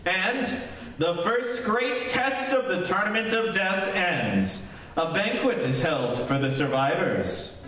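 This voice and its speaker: A man's voice: sits high, expressive, slow pace, fairly bright tone, heavily acted. A man narrating an old-time radio serial in a dramatic announcer manner. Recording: distant, very echoey hall, faint noise.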